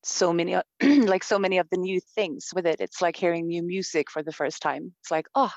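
A woman's voice: on the low side, brisk, darkish tone, no echo, dull audio. A woman from Sweden with a Swedish accent, speaking thoughtfully in an interview, with many fillers and restarts. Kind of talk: reflective conversation.

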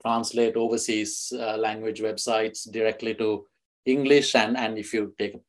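A man's voice: on the low side, little pitch movement, a little slow. A man from Sri Lanka, a guest on a podcast, answering questions with many restarts and fillers. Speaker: guest, fintech executive.

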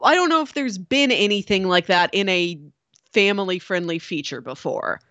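A woman's voice: mid-range voice, expressive, normal speed, fairly bright tone, dry recording, dull audio. A woman co-hosting a podcast, chatting casually as she reviews a film. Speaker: podcast co-host.

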